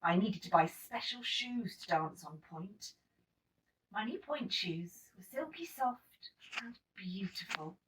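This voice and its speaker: A woman reading a children's story aloud in an expressive, emphatic storytelling manner. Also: medium pitch, takes it slowly, far from the mic, slight background noise, poor audio. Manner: animated, emphatic storytelling with acted-out dialogue. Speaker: storybook reader.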